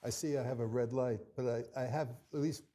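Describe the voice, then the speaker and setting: A man arguing his case before an appellate court, speaking haltingly with many restarts and fillers. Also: medium pitch, fairly even intonation, dark tone. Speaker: attorney arguing his own appeal. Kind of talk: courtroom oral argument.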